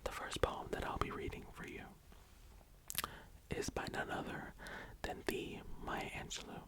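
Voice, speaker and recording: Slow, whispering young adult ASMR host. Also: poor audio. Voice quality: breathy, unvoiced whisper. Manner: gentle, deliberate, lingering on words. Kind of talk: whispered ASMR talk and poetry reading.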